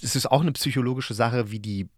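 A man's voice: medium pitch, brisk, dry recording, clean studio sound. A man hosting a podcast, reviewing in a casual, loose and meandering way as if thinking aloud. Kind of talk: casual spoken radio-play review.

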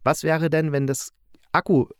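A man's voice: on the high side, little pitch movement, moderate pace, clean tone, dry recording, clean studio sound. A man giving a casual, colloquial and opinionated spoken review, retelling stories as a host. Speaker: host reviewing audio dramas.

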